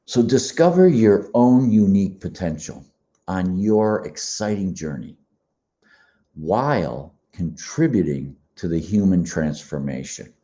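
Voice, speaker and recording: A man speaks slowly and gently in a very low voice, a little froggy and hoarse. His tone is dark and mellow, unhurried, like a meditative spiritual talk recorded in a quiet room. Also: lively intonation. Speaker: podcast host. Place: quiet beach condo.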